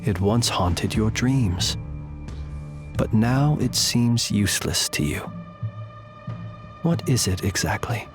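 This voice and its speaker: An actor playing a composed, polite adventurer in a video game, questioning in a measured, dryly wry way. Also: male voice, fairly low pitch, over music. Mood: calm, probing. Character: composed, courteous, probing male adventurer facing a villain. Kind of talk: video game character acting.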